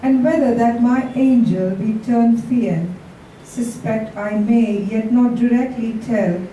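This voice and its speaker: A woman reading poetry aloud with short casual introductions, in a deliberate, drawn-out delivery. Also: a little high, mellow. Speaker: poetry reader. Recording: echoey room, distant mic, faint noise.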